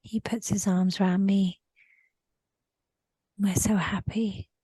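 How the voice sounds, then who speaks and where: Slow whispering woman, breathy. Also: medium pitch, little pitch movement, dry recording. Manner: soft whisper, slow, repeats short phrases. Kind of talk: whispered first-person recollection in a guided session.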